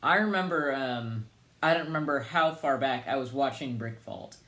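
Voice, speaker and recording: A man's voice: mid-range voice, normal speed, bright, forceful tone. A young man hosting a casual podcast interview, friendly and with some fillers. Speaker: podcast host.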